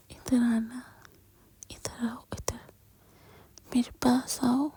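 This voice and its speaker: A woman whispering an intimate girlfriend roleplay, speaking softly and directly to the listener up close to the mic. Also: a little high, husky.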